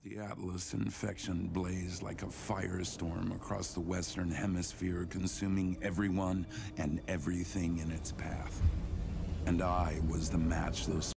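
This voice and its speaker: An actor playing a world-weary, bitter man turned monster, narrating a game cutscene in a slow, brooding, sardonic way. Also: flat delivery, heavy background noise. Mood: ominous, brooding. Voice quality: deep, gravelly. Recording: voice under loud cinematic music.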